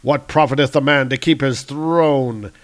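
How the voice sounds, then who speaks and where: A man's voice: medium pitch, a little slow, pitched above the performer's own voice, close to an everyday voice. A man voicing a noble, righteous thunder god in a comic-book story, with solemn declamatory archaic speech. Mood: solemn defiance.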